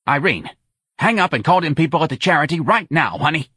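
A man's voice: fairly high pitch, animated, fairly quick, bright, forceful tone, breathy edge, dry recording, clean studio sound, pitched above the performer's own voice, heavily acted. Man playing a brassy, bossy New Orleans woman. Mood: urgent, gossipy. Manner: brash, colloquial, pushy. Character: loud, bossy older woman, scheming friend.

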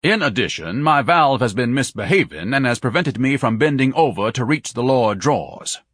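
Pompous whiny fat man for an audiobook, husky, indignant, swoops all over. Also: mid-range voice, expressive, normal speed, fairly bright tone, no echo, studio quality, pitched above the performer's own voice. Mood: pompous complaint. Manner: pompous, didactic, melodramatic. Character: obese, pompous, whining man, indignant blusterer.

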